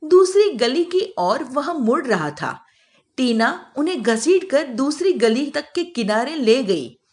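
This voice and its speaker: Slow, high-voiced woman storyteller. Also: very animated, a little mellow. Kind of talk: scripted narration of children's moral story.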